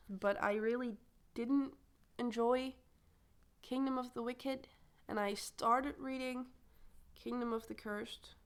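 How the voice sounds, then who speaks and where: A young woman chatting casually about her reading plans, her voice a little croaky from a recent illness, rambling and correcting herself as she goes. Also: on the high side. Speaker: booktuber. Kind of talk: casual chat to camera.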